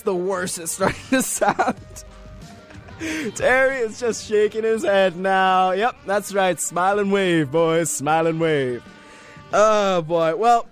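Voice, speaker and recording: High-voiced young man chatting on radio. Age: young adult. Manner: rambling, self-deprecating, jokey, often chuckling. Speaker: radio show host. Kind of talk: casual solo radio chat. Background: music under the voice.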